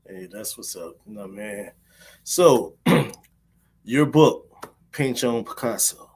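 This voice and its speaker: A man's voice: lowish voice, expressive, takes it slowly, darkish tone, slightly rough. A man hosting a poetry interview show, casual and slangy, with plenty of fillers. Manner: laid-back, slangy, with fillers. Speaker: host.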